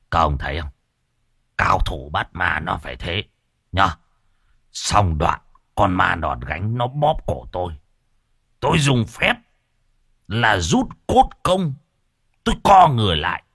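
A man's voice: fairly low pitch, vocal fry, fairly bright tone, slightly rough, dry recording, clean studio sound, heavily acted. A man voicing a crude, boastful male ghost-hunter who brags with swagger and repeats himself for emphasis.

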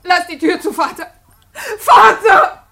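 An actress playing a desperate young married daughter in a radio drama, pleading with emotional urgency. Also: female voice, extremely high pitch, slow, vocal fry, sharp tone, heavily acted. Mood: tearful pleading. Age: young adult. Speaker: actor. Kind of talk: 1950s German radio drama acting.

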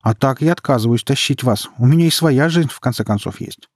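A man voicing a sharp-tongued young woman, a teasing elder sister who taunts and scolds in an audiobook story. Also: medium pitch, no echo, clean studio sound, pitched above the performer's own voice, lightly acted. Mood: playful teasing. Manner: taunting, brash, quick to scold.

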